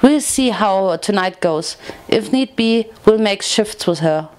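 A woman voicing a caring, reassuring young female pony leader in a story, speaking earnestly and gently. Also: medium pitch, lively intonation, unhurried, smooth, natural voice. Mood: calm.

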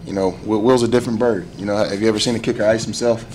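A man's voice: on the low side, steady tone, a little mellow, low-quality recording. A man in his thirties, a head football coach answering reporters after a game. He speaks at a table microphone, stumbling and filling with "you know.". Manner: quick, halting, many "you know" fillers. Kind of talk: postgame press conference answers. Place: press room before a sponsor backdrop. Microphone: table mic in front.

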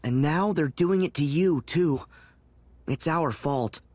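A man's voice: a little high, takes it slowly, a little mellow, no echo. A man playing an earnest, idealistic teenage boy hero in a video game, sincere and emphatic. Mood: serious, indignant.

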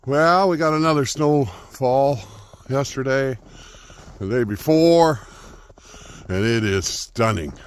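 A man's voice: medium pitch, takes it slowly, long pauses. A man in his fifties casually vlogging on a walk along a snowy forest trail, rambling with lots of fillers, filmed on a phone held at arm's length. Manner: relaxed, rambling, with many fillers. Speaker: homesteading vlogger. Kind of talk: casual outdoor vlog. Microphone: phone at arm's length, selfie.

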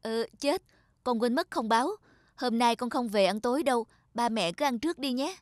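A woman voicing a kindly, polite young man in an animated moral story, speaking gently. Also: on the high side, normal speed, no room sound, studio quality, lightly acted. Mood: casual. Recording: loud music over the dialogue.

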